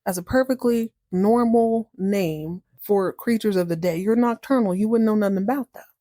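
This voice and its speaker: A woman voicing a sly male cat in a casually told story, slangy, glib and excitable. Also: mid-range voice, normal speed, dry recording, studio quality, natural voice. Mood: defensive. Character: sly male cat, breezy, defensive fibber. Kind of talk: casual storybook character dialogue.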